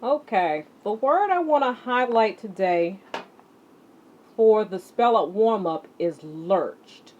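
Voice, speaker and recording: A woman teaching children as an exercise leader, speaking deliberately and emphatically, addressing her students directly. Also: mid-range voice, animated, a little mellow. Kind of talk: instructional lesson to children, read-along with warm-up exercises. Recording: muffled audio with clear background noise.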